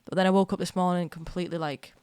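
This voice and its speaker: A young woman streaming from home, chatting in a rambling, excitable way while getting over a cold with a slight cough. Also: fairly low pitch, fast, clear, resonant tone, dry recording. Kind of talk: casual live-stream chat.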